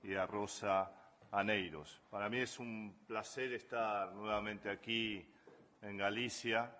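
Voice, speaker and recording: Slow-paced Argentine man lecturing. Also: fairly low pitch, steady tone, bright, forceful tone, a little hiss or hum. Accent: Argentine Spanish. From Buenos Aires, Argentina. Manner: measured, hesitant with frequent fillers. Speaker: conference speaker. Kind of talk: academic lecture.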